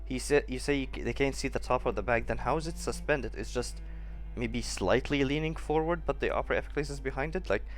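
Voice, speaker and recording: A male streamer with a mid-pitched voice reacts to a game in casual commentary. He sounds sceptical and halting, thinking aloud over faint music. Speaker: gaming streamer reacting to a playthrough.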